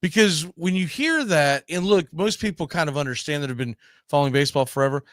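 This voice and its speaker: A man's voice: fairly high pitch, animated, fast, a bit bright, no echo, clean studio sound. A man hosting a live baseball history show, chatting casually and often repeating and rephrasing as he goes. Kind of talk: casual sports chat with co-host.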